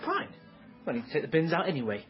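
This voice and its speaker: A man's voice: on the high side, wildly swooping pitch, quick pace, dull audio, faint music behind. An actor in a comic radio drama playing a sassy, meddling male waiter, theatrical and arch in manner. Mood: sulky, meddling. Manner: theatrical, arch, feigned clumsiness.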